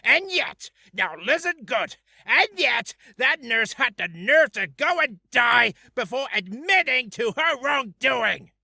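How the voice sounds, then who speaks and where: Male actor, cranky pompous doctor, aggrieved, emphatic, very sharp tone, animated, dry sound. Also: extremely high pitch, slow pace, creaky, few pauses, no echo, full character voice. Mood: indignant. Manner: emphatic outbursts, aggrieved complaining. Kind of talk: character voice audition reel.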